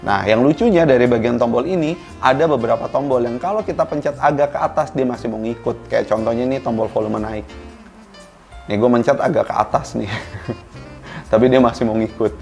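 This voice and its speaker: A man's voice: mid-range voice, brisk, gravelly, a little mellow. Casual man reviewing products over music. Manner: informal and colloquial, addresses viewers directly. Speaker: product reviewer, video host.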